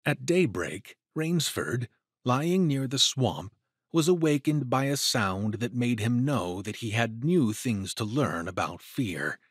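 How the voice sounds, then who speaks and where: A man narrating an adventure story in a dramatic, deliberate, suspenseful way. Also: mid-range voice, big pitch swings, husky, dry recording, clean studio sound.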